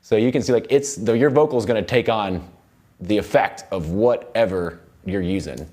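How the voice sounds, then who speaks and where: A man's voice: lowish voice, normal speed, darkish tone. A young man giving a casual, informal tutorial as an audio production instructor in a recording studio. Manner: informal, loose explaining. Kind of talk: casual hands-on software tutorial. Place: recording studio control room. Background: music playback from the session.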